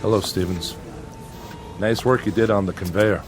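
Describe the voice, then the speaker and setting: An actor playing a weary, plainspoken factory supervisor in a radio drama. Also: male voice, lowish voice, steady tone, moderate pace, music in the background, close to an everyday voice. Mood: mild, wry. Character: weary, bitter factory supervisor, gentle with people.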